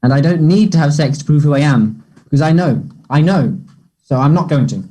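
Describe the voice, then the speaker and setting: An earnest, unsure young man, acted by a man in a play reading. His voice is soft-edged, mellow and slightly high, with some huskiness. He speaks haltingly and apologetically, as if feeling for each phrase. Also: animated, slightly rough. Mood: firm, sorry. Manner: hesitant, halting. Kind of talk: play table read.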